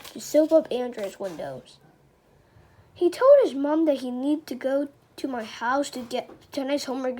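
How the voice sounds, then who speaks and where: High-pitched voice reading a children's book, female. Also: warm tone. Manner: stumbles over words and rereads phrases. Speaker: read-aloud reader. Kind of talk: children's chapter book read-aloud.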